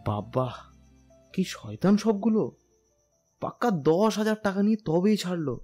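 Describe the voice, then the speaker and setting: A man's voice: high-pitched, very animated, measured pace, mellow, soft background music, exaggerated voice. A young man playing a caring, playful husband who coaxes and teases in a romantic audio story. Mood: playful, insistent. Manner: teasing, coaxing.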